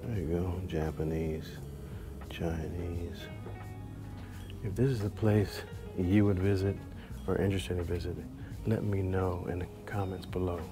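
A man's voice: low voice, fairly even intonation, a little slow, long pauses. A man in his forties, a travel vlogger, musing aloud with fillers while browsing a large library. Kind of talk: casual vlog commentary. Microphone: handheld or chest-mounted action camera. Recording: clear background music under voice. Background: background music.

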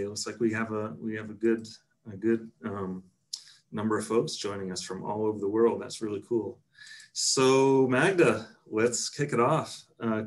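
A middle-aged man hosting a virtual interview over a video call, friendly and full of ums. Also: medium pitch. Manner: friendly, filler-heavy. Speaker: host.

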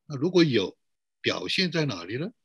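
A man's voice: medium pitch, big pitch swings, normal speed, a bit bright, slightly rough, dry recording. A middle-aged man pastor giving a sermon to an online church, posing rhetorical questions and drawing out emphatic phrases.

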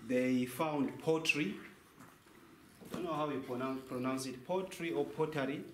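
A man's voice: a little high, moderate pace. A young man working as a tour guide, giving a guided cave tour talk. He explains things by asking a question and then answering it himself. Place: large cave, indoors. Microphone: handheld mic, camera a few meters away. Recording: echoey cave, faint noise.

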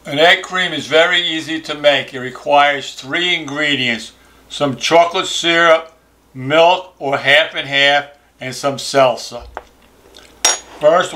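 A man's voice: on the high side, bright, forceful tone. An older man co-hosting a vlog, explaining a recipe step by step in a plain, matter-of-fact way. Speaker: channel co-host. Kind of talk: kitchen demonstration.

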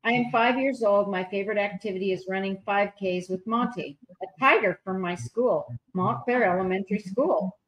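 A woman's voice: medium pitch, normal speed, hardly any pauses, poor audio. A woman on a video call reading artwork descriptions aloud at a public meeting, stumbling over unfamiliar names. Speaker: public arts commissioner.

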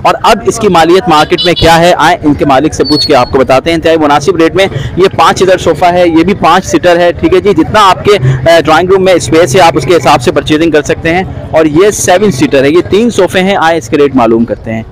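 A man hosting a walking vlog at an outdoor street market, cheerfully pitching furniture and repeating prices back to the viewer. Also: poor audio. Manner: upbeat promotional patter, repeats prices back. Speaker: vlog host. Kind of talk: walking market tour, bargaining with sellers.

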